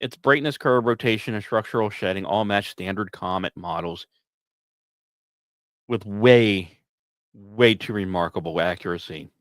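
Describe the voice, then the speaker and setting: A man's voice: on the low side, a little slow, a bit bright, no room sound. A man hosting a science podcast, delivering semi-scripted commentary with wry asides and the odd stumbled, repeated word.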